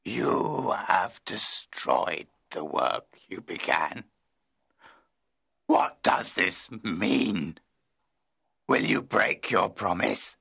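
A man voicing a huge, bitter male monster in an audiobook, speaking slowly and menacingly with a rough, raspy voice. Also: sits low, animated, pushed and bright, exaggerated voice. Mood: pleading. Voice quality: gravelly raspy rasp. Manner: slow, heavy, threatening delivery. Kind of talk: audiobook reading.

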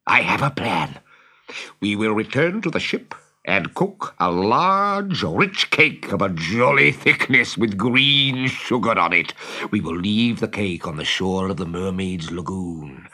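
A performer voicing a wicked, scheming pirate captain in a children's storybook, with a theatrical, gloating, drawn-out delivery. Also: low-pitched, lively intonation, sharp tone, pitched below the performer's own voice, heavily acted.

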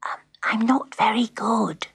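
A woman's voice: extremely high pitch, normal speed, voice pushed up. A woman voicing an earnest, nervous little girl pupil, timid and pleading, in a children's story. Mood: nervous, whispering.

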